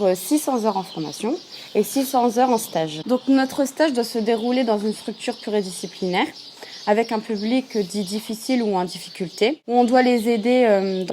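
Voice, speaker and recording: A woman in her thirties, a trainee social youth worker, giving a clear explanatory interview answer. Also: fairly high pitch, a little mellow, slight background noise. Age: 30s.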